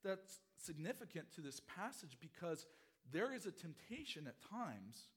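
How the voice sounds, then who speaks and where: A middle-aged pastor preaching a sermon, his voice hoarse from a cold, earnest and expository, often repeating phrases. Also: sits high, sing-song, measured pace, fairly bright tone.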